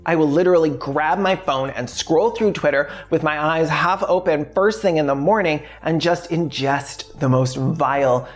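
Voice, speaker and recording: Woman with a low, sardonic delivery. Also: normal speed, few pauses, soft background music. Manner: sardonic, frank, swearing freely. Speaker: video essayist and host. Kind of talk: candid scripted video essay with casual asides.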